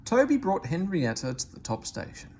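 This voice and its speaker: A man reading a children's story aloud in expressive narration. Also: sits high, moderate pace, slight background noise. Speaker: storybook reader.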